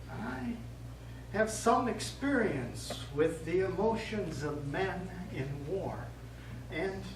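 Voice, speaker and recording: Stage priest, male actor: gentle, pastoral, measured; animated intonation, heard from far off in an echoey room. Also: high-pitched. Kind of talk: stage play acting. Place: theatre studio stage. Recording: echoey stage, distant mic, noisy.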